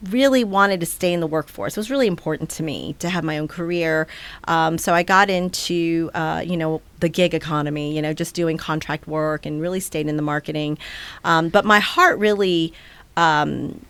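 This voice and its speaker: Casting: woman in her forties, a bit low, chatty guest who rambles and laughs easily. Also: normal speed, fairly bright tone, clear, resonant tone, dry recording. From Taiwan. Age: 40s. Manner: loose and rambling, many fillers, laughs easily. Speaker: nonprofit executive director, guest. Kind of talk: casual interview chat.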